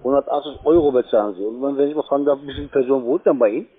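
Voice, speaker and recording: A man with grammar makes an insistent cold-call pitch. He talks quickly at a medium pitch, and the line is tinny and narrow like a telephone. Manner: pushy, insistent, halting grammar. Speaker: call center electricity salesman. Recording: tinny, band-limited phone line.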